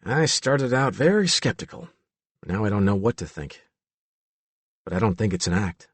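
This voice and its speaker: A man's voice: fairly low pitch, sing-song, moderate pace, husky, no echo. A man voicing a sober, thoughtful, doubtful professional man in a storybook reading. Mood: uncertain, sober.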